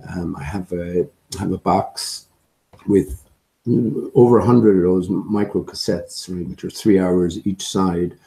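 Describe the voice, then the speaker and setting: A man with a low, very dark and relaxed voice that sounds a little hoarse. He hosts a casual livestream, speaking in a musing, hesitant ramble. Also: measured pace, poor audio. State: slightly hoarse, occasional coughing. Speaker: livestream host and amateur musician.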